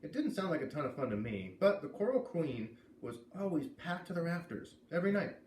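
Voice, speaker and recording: A man's voice: on the high side, big pitch swings, fairly quick, a little mellow. A man hosting a read-aloud, narrating a storybook in a casual, conversational style. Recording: echoey room, distant mic, faint noise.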